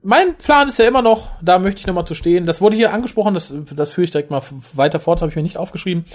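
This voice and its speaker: Podcast co-host, male, fast and animated, a bit higher than average, casual chat. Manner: animated, fast, many restarts and laughs.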